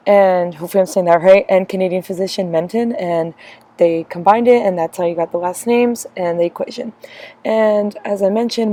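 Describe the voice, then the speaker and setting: A young woman gives a class presentation in a hoarse voice that she is losing. Her tone is mellow and soft, and she rambles a little, with frequent ums between points. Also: mid-range voice. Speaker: student presenter.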